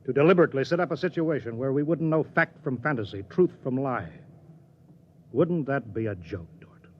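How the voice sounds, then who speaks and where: A man's voice: medium pitch, lively intonation, a little fast, close to an everyday voice. A man playing a suspicious, commanding starship captain in the 1950s radio acting style. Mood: grim doubt. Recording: dull, faintly noisy 1950s radio recording.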